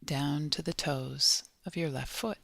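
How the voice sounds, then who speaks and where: Female meditation guide: gentle, unhurried delivery with long pauses, noticeable vocal fry. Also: sits low, no room sound, studio quality. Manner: gentle, measured, long pauses between short phrases. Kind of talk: guided body scan meditation.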